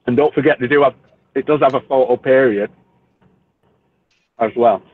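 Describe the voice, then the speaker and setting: A man's voice: medium pitch, normal speed, breathy edge, no echo. A British man in casual group chat, blunt and teasing in a very colloquial way. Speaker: community chat participant. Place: online voice chat. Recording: tinny phone-like line, faint noise, clicks.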